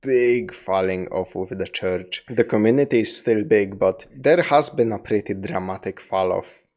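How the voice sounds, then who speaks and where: A young man reacts casually, blunt and swearing freely, often restarting his sentences. His voice sits on the low side. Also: unhurried, a little mellow. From Poland. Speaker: streamer reacting to a video.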